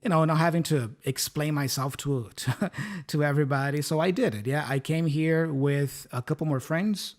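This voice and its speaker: Brazilian guy in his 30s, english is his second language, slightly higher voice, friendly teacher vibe, keeps asking "yeah?". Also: moderate pace, a little mellow. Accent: Brazilian Portuguese. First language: Portuguese. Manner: friendly, often checks in with "right?" and "yeah?". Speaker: English teacher and podcast co-host. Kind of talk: casual teaching chat.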